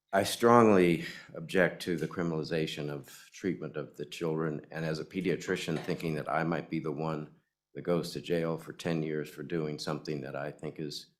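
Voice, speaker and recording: An older man, a retired pediatrician with a gravelly voice and a cold, giving earnest public testimony to a committee. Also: very deep voice, fairly even intonation, normal speed. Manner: earnest, hesitant with fillers. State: has a cold. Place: legislative committee hearing room.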